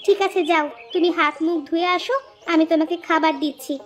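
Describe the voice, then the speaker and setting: Very high-pitched fretful village mother played by a woman. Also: steady tone, fast talker, soft background music. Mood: homely. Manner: fretful, pleading, coaxing. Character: doting, worrying village mother and wife. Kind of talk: cartoon story dialogue.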